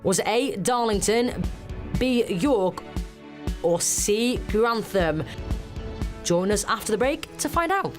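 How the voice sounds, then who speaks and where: A woman's voice: medium pitch, lively intonation, slow pace, long pauses. Upbeat woman presenting with music underneath. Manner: bright, upbeat links between segments. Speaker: TV magazine show presenter. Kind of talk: scripted presenting to camera. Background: music bed.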